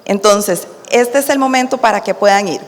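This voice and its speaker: A woman emcees a conference, briskly announcing and introducing the next speaker and filling pauses with "este." Her voice sits at an ordinary pitch for a woman. The hall gives her a long, lingering reverb. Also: slight background noise. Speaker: event host.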